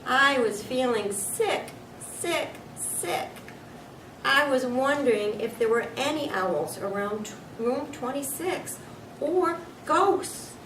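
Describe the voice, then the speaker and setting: An excitable, nervous little storybook hamster, read by a woman, chatting in a wide-eyed, confiding way. The recording is echoey and distant, with clear background noise. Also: high voice, takes it slowly, pitched above the performer's own voice, full character voice. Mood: anxious. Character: small excitable classroom pet hamster, male, chatty and nervous.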